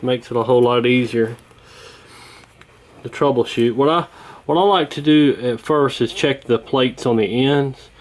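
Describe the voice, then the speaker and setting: A man giving a casual hands-on repair walkthrough, talking in an unhurried, folksy way while he works. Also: mid-range voice, background noise. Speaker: host of a radio repair channel.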